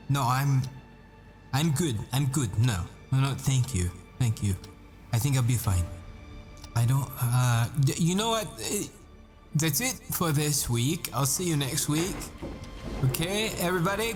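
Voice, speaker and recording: Casting: male actor as troubled professor-assassin boyfriend, slow and stammering, dramatic audio roleplay, faint music underneath. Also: mid-range voice, a little mellow. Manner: halting, stammering, repeats himself. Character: desperate boyfriend, dazed math professor and assassin.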